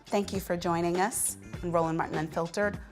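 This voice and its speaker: A woman's voice: lowish voice, steady tone, fairly quick, soft background music. A woman with an American accent hosting a news show, presenting stories and giving live commentary. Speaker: guest host of a news show.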